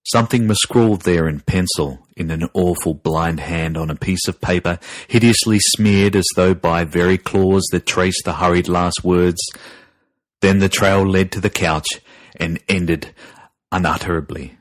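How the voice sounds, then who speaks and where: Deep, grave male horror narrator. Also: steady tone, no room sound. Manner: grave, deliberate, ominous. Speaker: narrator and podcast host. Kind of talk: dramatic scripted horror story narration.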